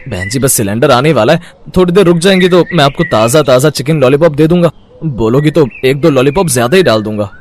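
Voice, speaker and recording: An actor playing a weary, henpecked village food vendor in a story cartoon, expressive and given to grumbling and pleading. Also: male voice, a little high, fast, slight background noise, close to an everyday voice. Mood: placating. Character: weary, henpecked village chicken-lollipop street vendor husband. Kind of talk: animated moral-story voice acting.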